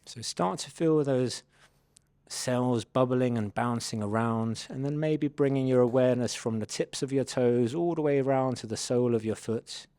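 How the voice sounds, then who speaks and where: Man gently guiding a meditation, dry close sound. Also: medium pitch, no echo. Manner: gentle, unhurried instructing in soft invitations. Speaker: mindfulness meditation guide. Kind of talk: guided body scan meditation.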